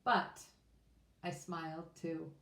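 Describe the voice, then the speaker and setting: A woman reading a children's storybook aloud in an expressive, informal way. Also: low-pitched, slow pace. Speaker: children's book reader. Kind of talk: read-aloud storybook narration. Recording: echoey room.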